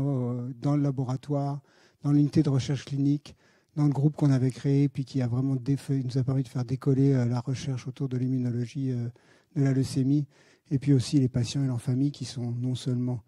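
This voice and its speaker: A man's voice: medium pitch, warm tone, no room sound. Hesitant middle-aged Frenchman lecturing. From France. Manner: hesitant, many fillers, friendly. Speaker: guest speaker, pediatric hematologist and researcher. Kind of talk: conference talk. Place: lecture hall.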